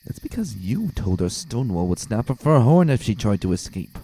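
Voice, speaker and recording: A man voicing a brisk, snippy pegasus cult officer with a clipped manner in a storybook reading. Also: on the low side, sing-song, dark tone, slightly rough, pitched above the performer's own voice, heavily acted, poor audio.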